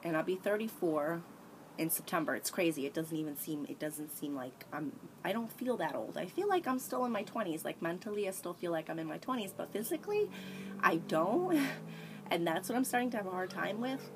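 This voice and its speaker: Low-voiced woman in her thirties, rambling. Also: animated, fairly quick, slight background noise, low-quality recording. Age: 30s. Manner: rambling, candid, frequent restarts and sighs. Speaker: vlogger. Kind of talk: casual confessional chat. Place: small room by a sunny window, indoors. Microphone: tablet camera at arm's length.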